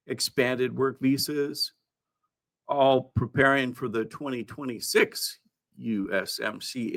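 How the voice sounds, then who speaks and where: A man hosts a news countdown in a middle-pitched voice. He moves between reading copy and ad-libbed commentary, often haltingly. His asides have a wry edge. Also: a bit bright. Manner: halting, with frequent throat clearing and wry asides.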